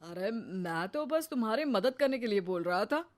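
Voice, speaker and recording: A woman voicing an eager, flirty middle-aged father in a cartoon story, quick to get defensive. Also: on the high side, lively intonation, natural voice. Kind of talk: cartoon voice acting.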